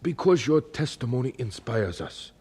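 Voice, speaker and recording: A devout young preacher in a religious radio play. His phrasing is formal and foreign, his earnestness intense. The voice sits mid-range, rough-edged and very animated. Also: mid-range voice, moderate pace. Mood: fervent. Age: 30s. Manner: formal, fervent, foreign word order. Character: earnest, devout Prussian-born missionary man of thirty-seven. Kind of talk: religious radio drama acting.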